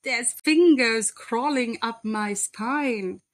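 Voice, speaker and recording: A woman's voice: on the high side, drawn-out words. A woman voicing a thin male thief, boastful, whiny and easily spooked, in a storybook read-aloud. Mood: whining, spooked. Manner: scheming, whining.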